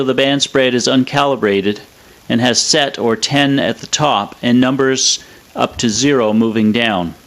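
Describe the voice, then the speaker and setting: A man presenting a scripted technical explainer in a measured, matter-of-fact way. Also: medium pitch, a bit bright. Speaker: presenter of an electronics review.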